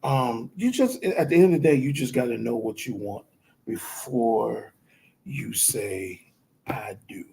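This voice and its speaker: A man speaking casually and haltingly, with many fillers, giving advice on marriage. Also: medium pitch, animated, vocal fry, mellow, husky. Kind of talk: casual chat.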